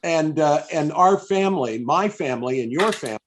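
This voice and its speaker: A man's voice: a little high, lively intonation, fairly quick, slight background noise. An older American man interviewing a guest in a casual, effusive, reminiscing way, often repeating himself. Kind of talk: casual, chatty live interview. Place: indoors on a video call.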